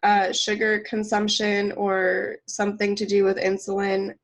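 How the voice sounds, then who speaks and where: A woman's voice: medium pitch, monotone, unhurried, rough recording. A woman with a congested cold voice co-hosting a nutrition podcast in casual chat, laughing often and using lots of fillers. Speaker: podcast co-host.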